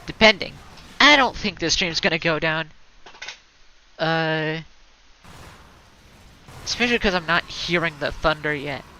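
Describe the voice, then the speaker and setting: A woman's voice: low-pitched, expressive, unhurried, long pauses, sharp tone, a little hiss or hum, poor audio. A woman streaming a game in casual, rambling chatter, slightly stuttering and congested from allergies. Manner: rambling, heavy on fillers and restarts.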